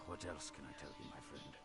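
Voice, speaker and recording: A devout, deep-voiced temple agent. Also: male voice, fairly even intonation, normal speed, pushed and bright, slightly rough, exaggerated voice. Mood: earnest. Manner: formal, reverent speech. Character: devout earnest male temple agent, solemn. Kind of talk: video game character dialogue. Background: game music.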